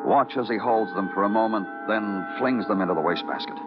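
An American actor playing a weary, steady police detective who narrates in a measured, clipped, brooding way in an old radio crime drama. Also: male voice, on the low side, natural voice. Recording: dull old radio recording with music.

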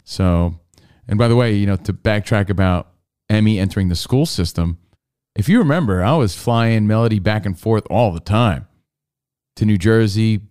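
A man with a low, smooth, resonant voice, chatting on a podcast. He is laid-back and rambling, with a jokey streak. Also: moderate pace, a little mellow. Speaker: podcast co-host. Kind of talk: casual chat.

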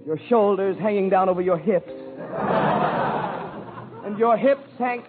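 A man's voice: high-pitched, unhurried, over a noisy crowd. Man putting on swooning melodramatic suitor, tinny radio. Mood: gushing, rapturous. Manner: gushing, mock-poetic, florid. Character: overwrought melodramatic male suitor in mock love speech. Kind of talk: 1940s radio comedy monologue. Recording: tinny vintage radio recording with music.

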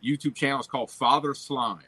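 A man's voice: medium pitch, brisk, sharp tone, breathy edge, no echo. A man from Louisiana with a Cajun accent, chatting casually about fishing and often repeating his words as he starts a sentence. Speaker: guest angler on a fishing livestream. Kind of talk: casual chat.

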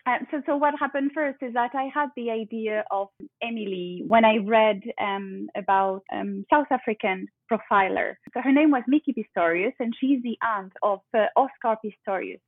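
A woman's voice: fairly high pitch, moderate pace, no room sound. French woman on a tinny call line. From France. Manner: thoughtful, searching for English words, laughs often. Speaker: guest author. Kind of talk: remote interview, casual.

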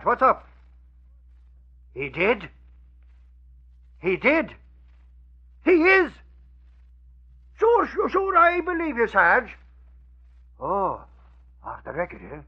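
An actor playing a blustery, easily flustered Irish sidekick in an old-time radio drama, full of folksy exclamations. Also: male voice, very high-pitched, wildly swooping pitch, takes it slowly, long pauses, slightly rough, full character voice. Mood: excited. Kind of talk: 1940s radio acting. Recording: muffled old radio recording.

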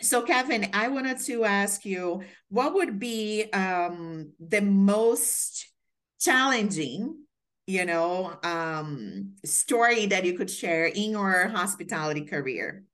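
A woman's voice: mid-range voice, lively intonation, slow pace, a bit bright. A Brazilian woman, Portuguese her first language, hosting a podcast interview in chatty, filler-heavy conversation. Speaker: podcast host. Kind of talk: casual interview chat.

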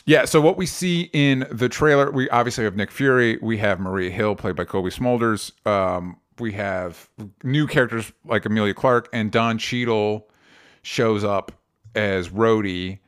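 A young man co-hosting a casual pop-culture podcast, talking in an animated, rambling way with lots of restarts. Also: lowish voice, measured pace, clean tone. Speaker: podcast co-host.

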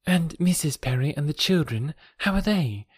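A man doing a gentle, eager young mother. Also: on the high side, animated, moderate pace, breathy edge, dry recording, clean studio sound, voice pushed up. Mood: eager polite. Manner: eager, polite, softly raised. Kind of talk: audiobook character reading.

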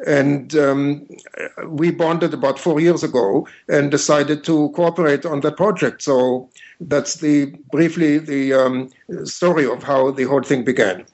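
An older man from Israel with an Israeli accent, telling anecdotes haltingly as an interview guest. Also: on the high side, steady tone, darkish tone. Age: 70s. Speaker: interview guest, author and retired mathematics teacher. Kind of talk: unscripted anecdotal interview talk. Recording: dull, lo-fi long-distance call.

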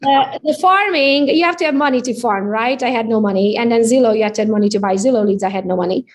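Upbeat Hungarian-accented woman, higher voice. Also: quick pace. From Romania. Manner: upbeat, animated storytelling with tag questions. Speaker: real estate agent guest. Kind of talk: casual interview chat.

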